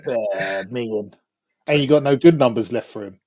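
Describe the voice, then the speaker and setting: A man's voice: mid-range voice, moderate pace, a crowd in the background. A man on a football podcast panel, bantering in a teasing, matter-of-fact way. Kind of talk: casual banter.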